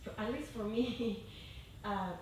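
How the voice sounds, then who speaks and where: A woman far from the microphone in a very reverberant, noisy room shares her own experience with a group. She speaks haltingly, feeling her way through each thought. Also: a little high. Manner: hesitant, halting. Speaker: meditation group participant. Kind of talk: personal sharing in group discussion. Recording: echoey, distant microphone, noisy background.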